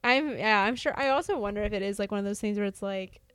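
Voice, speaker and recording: A woman chatting casually about a TV show, reacting quickly and talking in a relaxed way. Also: medium pitch, clean tone, no echo. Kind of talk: casual chat.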